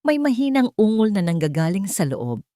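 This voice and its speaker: A woman narrating a dramatic story with steady scripted delivery. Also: medium pitch, normal speed, dry recording, clean studio sound.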